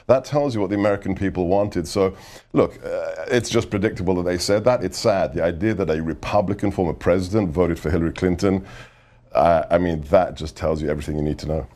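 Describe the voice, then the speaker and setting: Deep-voiced emphatic man. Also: brisk, mellow. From United Kingdom. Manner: emphatic, insistent, repeats for effect. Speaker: guest, former government adviser. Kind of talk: televised political interview.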